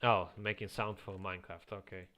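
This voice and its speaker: A man with a slight Eastern European accent, chatting casually as a streamer, reading chat aloud and chuckling often into a quiet home microphone. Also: deep voice, little pitch movement, moderate pace. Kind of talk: casual live-stream chat while playing.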